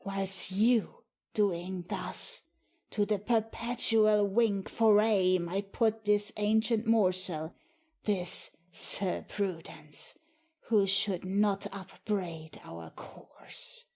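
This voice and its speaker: A woman playing a cold, ambitious, scheming nobleman in a measured dramatic reading of Shakespeare. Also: mid-range voice, fairly even intonation, darkish tone.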